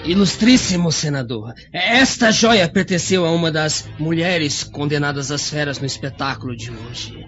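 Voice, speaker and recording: An actor playing a drunken Roman centurion, deferential but grasping, in a theatrical radio drama. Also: male voice, fairly high pitch, lively intonation, moderate pace, hardly any pauses, a bit bright. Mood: deferential, haggling. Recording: muffled radio drama, music behind.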